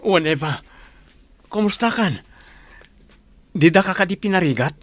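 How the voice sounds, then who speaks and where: A man's voice: high-pitched, lively intonation, unhurried, no room sound. A Filipino man acting in a radio drama as a humble, devoted working man, speaking earnestly. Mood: surprised.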